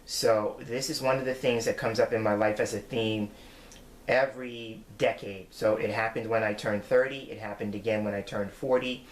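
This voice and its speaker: A man's voice: fairly low pitch, steady tone, moderate pace. A man in his forties, a tarot reader, giving his weekly forecast in an earnest, reflective way as he explains each card. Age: 40s. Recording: roomy echo.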